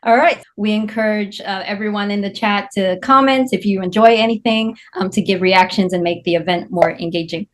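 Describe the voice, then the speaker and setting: A woman's voice: medium pitch, brisk, few pauses. A young woman informally hosting an online table read, introducing things as host. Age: young adult. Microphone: video call microphone.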